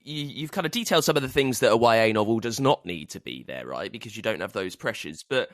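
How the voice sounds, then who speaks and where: A man hosting a casual interview, framing his questions haltingly and repeating words as he goes. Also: on the low side, big pitch swings, fairly bright tone, no room sound, clean studio sound. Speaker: podcast host, interviewer.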